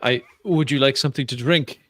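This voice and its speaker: A man voicing a courteous Parisian gentleman traveler with a French accent, gracious and quick to laugh, in a tabletop roleplay. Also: mid-range voice, no room sound, studio quality, close to an everyday voice. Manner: polite, gracious, laughs easily. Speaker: player. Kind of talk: in-character roleplay.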